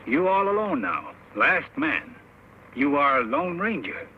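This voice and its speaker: Loyal solemn cowboy sidekick, choppy broken english, really sharp animated voice, old time radio with some hiss. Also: male voice, on the high side, exaggerated voice. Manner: halting broken English in short clipped phrases. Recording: noisy old radio recording.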